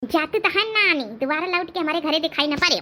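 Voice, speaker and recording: A woman's voice: a little fast, pushed and bright. A woman voicing a spiteful, grumbling middle-aged village mother-in-law with an Awadhi accent in a cartoon comedy. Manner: grumbling, shrill, theatrical. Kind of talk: cartoon comedy drama dialogue.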